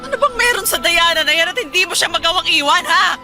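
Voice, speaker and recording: Shrill, piercingly high jilted Filipino wife. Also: female voice, animated, fast talker, pushed and bright, full character voice. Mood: pleading, jealous. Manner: shrill, accusing, argumentative. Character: abandoned wife, obsessive, jealous, confrontational and bitter. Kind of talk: Filipino radio drama acting. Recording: music behind.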